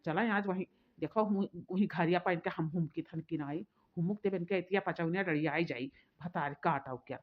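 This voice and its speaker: A woman's voice: fairly low pitch, normal speed, natural voice. A woman playing a defiant, hot-tempered village sister-in-law in a cartoon comedy, grumbling in repeated phrases with an Awadhi accent. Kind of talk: rustic cartoon comedy dialogue. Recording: dull lo-fi sound.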